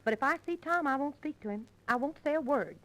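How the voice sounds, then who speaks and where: An actress playing a stubborn, miffed sweetheart in a 1930s radio musical comedy, on a restored transcription disc. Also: female voice, sits high, animated, fast talker, a bit bright, low-quality recording. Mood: grudging.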